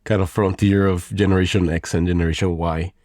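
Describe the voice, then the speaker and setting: A man's voice: deep voice, little pitch movement, a little fast, darkish tone, no echo, studio quality. A man in his forties from Mexico, a podcast guest who speaks thoughtfully with frequent restarts and fillers. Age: 40s. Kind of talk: conversational interview.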